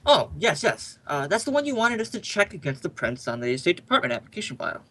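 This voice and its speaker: A man playing a polite but firm fingerprint official in an old time radio drama, husky and formal. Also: high-pitched, big pitch swings, fast, sharp tone, full character voice. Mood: polite, questioning.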